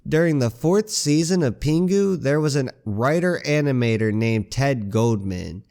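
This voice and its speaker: A man with a raspy voice from a cold, reading a horror story aloud as a channel narrator in a slow, grim way. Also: medium pitch, lively intonation, mellow. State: hoarse with a cold. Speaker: creepypasta narrator.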